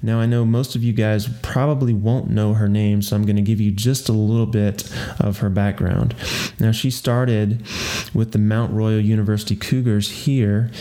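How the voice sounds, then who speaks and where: A man hosting a podcast, introducing his guest and then interviewing her in a relaxed way, often murmuring agreement. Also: on the low side, moderate pace, few pauses. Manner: relaxed, frequent affirming murmurs. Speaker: podcast host. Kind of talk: casual interview.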